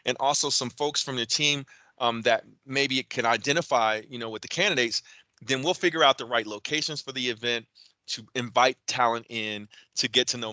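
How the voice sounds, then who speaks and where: Male event host, bright voice, dry close sound, casual delivery with fillers. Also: mid-range voice, no room sound. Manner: conversational, frequent fillers and restarts. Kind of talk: virtual event introduction.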